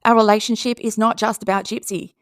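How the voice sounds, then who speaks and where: A woman's voice: mid-range voice, fairly even intonation, fairly quick, no room sound, studio quality. A woman with an Australian accent giving casual, sarcastic commentary and reading posts aloud in a blunt, mocking way. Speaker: commentary video host.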